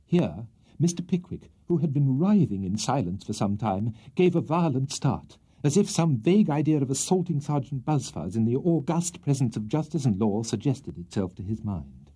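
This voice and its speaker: A man with an English accent giving an expressive storyteller's narration of a classic comic novel. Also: medium pitch, normal speed, slightly rough. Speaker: audiobook storyteller.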